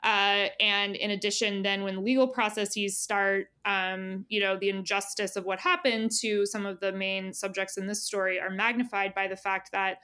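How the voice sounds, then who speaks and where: A woman's voice: mid-range voice, little pitch movement, moderate pace, hardly any pauses, sharp tone, clean tone. A woman speaking as an editor being interviewed, in a casual conversational style, thinking aloud with frequent "you know" and "like". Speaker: magazine editor, interview guest.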